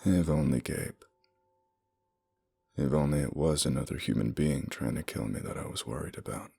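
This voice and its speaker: A man with an extremely deep, gravelly voice reads a horror story slowly and steadily. Faint music plays under his narration. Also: warm tone.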